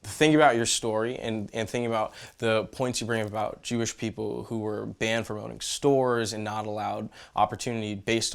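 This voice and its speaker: A man's voice: fairly low pitch, creaky. A young adult man speaking reflectively in conversation, in a thoughtful, slightly hesitant way. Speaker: photographer interviewing his great-aunt.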